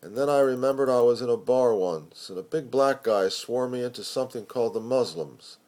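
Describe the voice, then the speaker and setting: Man reading poetry aloud, mid-pitched. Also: a little fast, darkish tone. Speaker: poetry reader. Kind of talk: dramatic spoken-word poetry reading.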